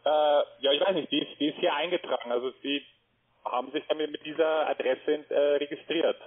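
A man's voice: a little high, fairly even intonation, brisk, slightly rough. A man working as a call center agent, polite and hesitant, full of fillers as he repeats details back. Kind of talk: phone call. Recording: phone-line audio.

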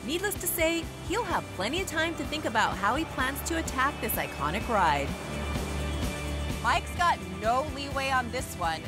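Female show presenter, scripted delivery, very varied pitch, clear music bed. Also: sing-song, moderate pace. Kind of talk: scripted TV narration.

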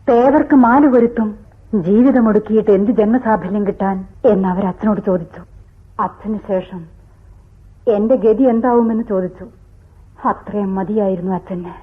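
A woman's voice: on the high side, breathy edge. An actress in a radio drama playing a sorrowful, brooding woman who speaks in poetic, theatrical monologue. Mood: bitter grief. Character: sorrowful woman, Dakshayani, brooding, bitterly wry, recalling her father. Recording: tinny phone-like old radio broadcast.

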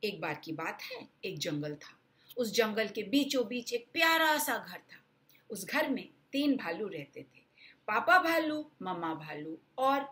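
A woman's voice: mid-range voice, pushed and bright. An older woman in her sixties telling a children's story with dramatic emphasis, speaking directly to young listeners as host. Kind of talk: expressive bedtime storytelling for children.

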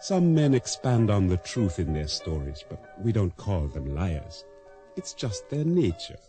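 Lonely middle-aged island shopkeeper, ex fisherman, dark soft tone, lively swings, wry radio play. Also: low voice, soft background music. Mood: wry, reflective. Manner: wry, measured storytelling. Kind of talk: radio drama acting.

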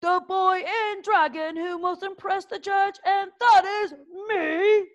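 Nasty Viking drill master, shouty and high, storybook, voiced by a woman. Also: steady tone, takes it slowly, muffled recording. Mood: bossy. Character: gruff, bad-tempered male Viking trainer.

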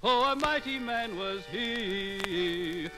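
A man sings a storytelling folk ballad in a high voice. The phrases are very slow and drawn out, with clear musical accompaniment. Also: lively intonation, over music.

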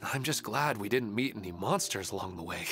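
A man playing a kindly, wistful father in a video game, earnest and gentle. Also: fairly low pitch, expressive, fairly quick, faint music behind. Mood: apologetic. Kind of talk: game voice acting.

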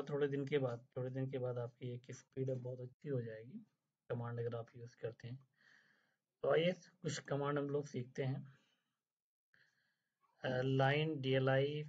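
Male instructor, husky mid-pitched voice, patient step-by-step tutorial delivery, slightly dull lo-fi voice-over. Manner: explains step by step, often checks in with "theek hai?"; mixes English phrases into Hindi. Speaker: drafting instructor. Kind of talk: screen-recorded software tutorial. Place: voice-over over screen capture.

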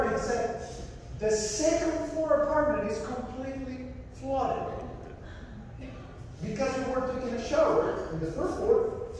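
A young Salvadoran man with a high voice preaches in a Spanish-accented English, telling animated stories as he paces. He is picked up by a camera far off in a big, echoing fellowship hall with a long reverb tail. A faint hum of room noise sits behind him. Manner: animated storytelling, gesturing while pacing. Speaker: missionary. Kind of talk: sermon. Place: large church fellowship hall. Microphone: far camera, no mic.

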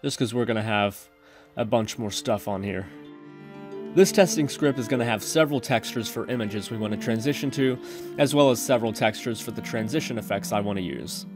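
A man's voice: lowish voice, brisk. Man narrating a coding tutorial over music. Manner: informal, chatty, talks through each step. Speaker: tutorial host. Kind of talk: casual screen-recorded coding tutorial narration.

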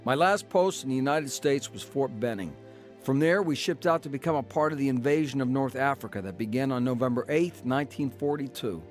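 A man acting as a grown son looking back on a crooked youth and the war. He tells it in a plain, matter-of-fact way at a middling pitch, as radio drama narration with music underneath. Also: fairly quick, natural voice. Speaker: narrator and lead actor. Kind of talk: scripted first-person radio drama narration.

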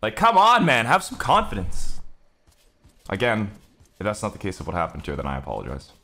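A man's voice: fairly low pitch, sing-song, brisk, gravelly, bright, forceful tone. A man giving casual gameplay commentary as a streamer, griping with exclamations and swearing. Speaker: video game streamer.

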